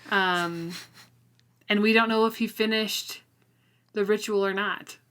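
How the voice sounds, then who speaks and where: A woman's voice: mid-range voice, fairly even intonation, slow, fairly bright tone, clear, resonant tone. A woman co-hosting a casual book discussion, informal and somewhat hesitant as she thinks aloud. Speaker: podcast co-host.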